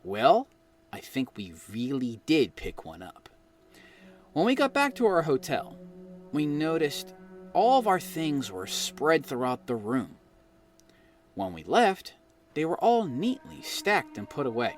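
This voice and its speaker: A middle-aged American man from New Jersey narrating his own eerie experiences with dramatic pauses. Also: on the high side, huge pitch swings. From New Jersey, United States. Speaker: guest storyteller reading own experience. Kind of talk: personal ghost story narration. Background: background music.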